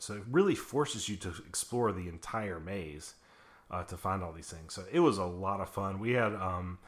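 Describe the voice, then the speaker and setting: A man hosting a podcast, chatting casually in a slightly hoarse, raspy voice, rambling with lots of fillers. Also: lowish voice, fairly bright tone. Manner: rambling, many fillers and restarts. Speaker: podcast host. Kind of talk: casual chat.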